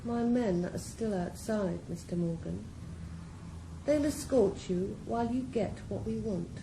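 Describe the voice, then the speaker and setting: A woman playing a cool, menacing crime boss in a radio drama, speaking in measured tones. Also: medium pitch, creaky, background noise. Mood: cold. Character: cold, calculating female crime boss.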